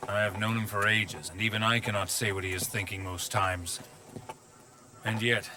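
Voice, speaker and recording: An actor playing a stern, loyal general of ancient China in a video game cutscene, speaking in a measured, dutiful way. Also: male voice, on the low side, sharp tone, slight background noise. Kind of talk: dramatic game cutscene acting.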